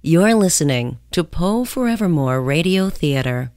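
Low-voiced woman announcer over music. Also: lively intonation, a little slow, darkish tone. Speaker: radio show announcer. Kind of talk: scripted dramatic announcing.

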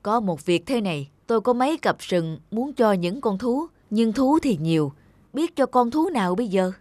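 A woman's voice: on the high side, animated, normal speed, a little mellow, dry recording. A woman voicing a big, stern, thoughtful male antelope leader in a children's cartoon. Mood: pensive. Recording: faint music behind the voice.

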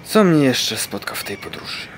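A man's voice: medium pitch, big pitch swings, moderate pace, soft background music, natural voice. Man acting wandering sailor, stubborn, wry, mid-range voice, amateur radio drama. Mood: irritated, sardonic. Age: young adult.

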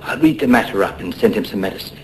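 A grave ship's captain, played by a man in a radio play, with a lowish voice. He is polite and measured, formal yet concerned, over a clearly noisy background. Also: lively intonation, natural voice. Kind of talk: radio drama acting.

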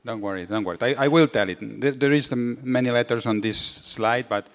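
A man's voice: mid-range voice, fairly quick. A middle-aged man giving an informal seminar lecture in English on a handheld mic, often repeating words as he searches for them. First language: Catalan. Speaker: guest lecturer. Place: large university lecture hall, indoors. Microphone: handheld microphone. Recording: reverberant lecture hall.

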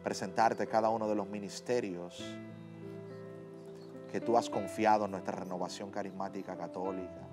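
A low-pitched man with a Dominican Spanish accent leads a studio prayer, slow and fervent, repeating each invocation. Soft piano plays clearly under his voice. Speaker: host. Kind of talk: leading a spoken prayer on a TV show. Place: TV studio set. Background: soft piano music.

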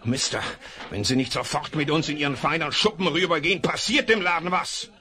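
A man playing a gruff, bold outlaw. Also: on the high side, fairly quick, lightly acted. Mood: urgent. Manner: gruff, commanding, urgent. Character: rough, bold male jailbird outlaw. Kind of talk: 1970s radio drama acting. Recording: noisy vinyl record.

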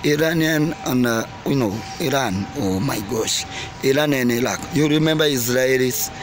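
A man in his forties giving opinionated news commentary to camera, halting and emphatic, repeating his phrases, recorded outdoors at arm's length. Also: medium pitch, over music. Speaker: vlogger. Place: outdoors by parked cars and trees. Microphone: phone or camera at arm's length. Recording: noisy outdoor recording with traffic ambience. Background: outdoor ambience and vehicles.

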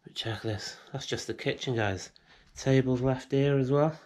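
A man's voice: mid-range voice, moderate pace, darkish tone. A British man giving casual commentary to viewers as he explores indoors with a handheld camera. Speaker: urban exploration vlogger. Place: inside rooms of an abandoned house. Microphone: handheld action camera on gimbal.

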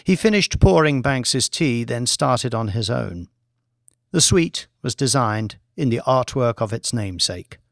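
A male narrator reads a thriller novel as an audiobook. His delivery is measured and dramatic, in the manner of scripted storytelling. The recording is close and dry, with very little room in it. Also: mid-range voice, expressive, gravelly, no room sound.

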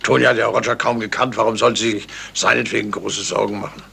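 An actor playing a sleuth's loyal, doubting confidant in a 1970s German radio crime drama. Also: male voice, lowish voice, steady tone, fast, vocal fry, bright, forceful tone, breathy edge, full character voice. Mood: doubtful. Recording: slightly noisy old radio recording.

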